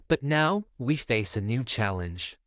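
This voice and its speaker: A man's voice: mid-range voice, expressive, measured pace, fairly bright tone, dry recording. A man voicing a heroic, earnest toy space ranger with a solemn, resolute, declamatory manner.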